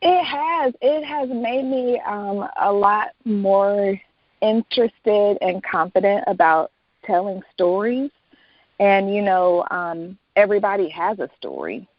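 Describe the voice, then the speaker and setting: A woman's voice: mid-range voice, expressive, slow, dry recording. A woman speaking as an interview guest and author, chatting casually in a reflective, conversational way. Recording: dull lo-fi sound.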